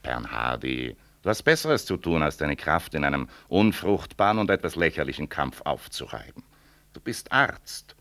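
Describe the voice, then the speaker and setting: A pragmatic, persuasive friend in a 1960s radio play. His deep voice rises and falls widely as he reasons and admonishes with earnest insistence. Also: big pitch swings, moderate pace, a bit bright, full character voice. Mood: urgent, admonishing. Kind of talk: 1960s German radio drama acting.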